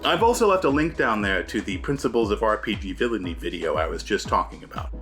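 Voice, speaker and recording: Animated man giving gamemastering advice. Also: fairly low pitch, fast, faint music behind. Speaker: host, game master giving advice. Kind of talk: scripted video essay on tabletop gamemastery.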